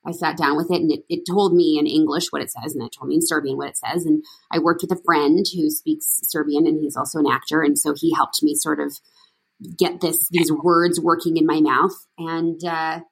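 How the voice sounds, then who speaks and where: A middle-aged woman from Canada chatting about her acting work, her voice a touch low and husky. She rambles through stories with lots of "you know" and "like" and restarts mid-sentence. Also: steady tone, normal speed, low-quality recording. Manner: chatty, storytelling, many fillers and restarts. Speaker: actress guest. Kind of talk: casual interview chat.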